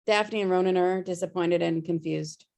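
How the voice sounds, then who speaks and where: A woman's voice: fairly low pitch, steady tone, moderate pace, gravelly, darkish tone. A woman reading scripted stage directions as narrator of a table read over a video call.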